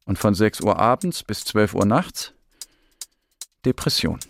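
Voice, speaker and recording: Creaky, low-voiced Syrian exile. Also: male voice, sing-song, unhurried, soft background music, full character voice. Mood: sober, reflective. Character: depressed Syrian exile man recounting life as refugee. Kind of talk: scripted radio drama monologue.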